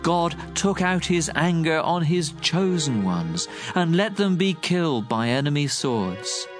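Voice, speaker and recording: A man's voice: fairly high pitch, big pitch swings, a little slow. A man narrating scripture as a dramatic, scripted audio reading. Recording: music bed under the voice. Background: music.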